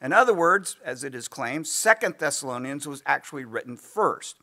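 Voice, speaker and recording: A man teaching a Bible lesson in an easygoing lecture style, losing his voice and often clearing his throat. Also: mid-range voice, lively intonation, moderate pace, pushed and bright. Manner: conversational, thinking aloud with asides. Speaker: pastor teaching a Bible class.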